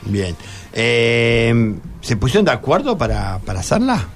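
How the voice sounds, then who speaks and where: A man with an Argentine accent hosting a radio show, talking informally and a bit haltingly as he interviews students. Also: medium pitch, big pitch swings, soft background music. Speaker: radio host. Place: radio studio. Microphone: studio microphone.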